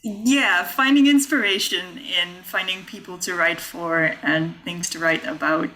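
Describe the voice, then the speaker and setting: Young woman with a lowish voice. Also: a little slow, sharp tone, rough recording. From Scotland. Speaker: competition finalist musician. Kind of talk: casual interview answers.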